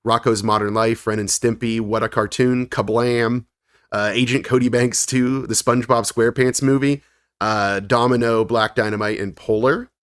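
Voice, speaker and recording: A man's voice: mid-range voice, steady tone, moderate pace, smooth, no room sound, studio quality. A man co-hosting a podcast in casual chat, reading long lists of credits with frequent laughs and fillers. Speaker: podcast co-host.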